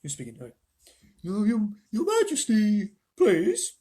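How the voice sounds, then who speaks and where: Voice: mid-range voice, wildly swooping pitch, dark tone, slightly rough, pitched above the performer's own voice, heavily acted. A reader voicing an old male bear, sleepy and bumbling, with a thick voice and a stammering, humble manner. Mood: sleepy, confused. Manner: stammering, slow, deferential.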